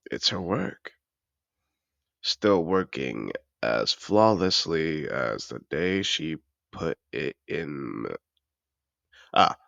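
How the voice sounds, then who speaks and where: A man's voice: a bass voice, pushed and bright, no echo, full character voice. A man voicing a suave, seductive dragon disguised as a wealthy aristocrat in a fantasy audio roleplay, speaking in a courtly, formal way. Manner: courtly, formal, smooth-talking.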